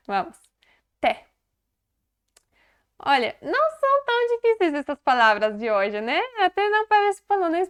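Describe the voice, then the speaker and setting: Lady teaching, chatty, slow repeats, smooth clear voice. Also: fairly high pitch. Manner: chatty, laughs often, repeats words slowly. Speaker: language teacher. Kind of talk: casual video lesson.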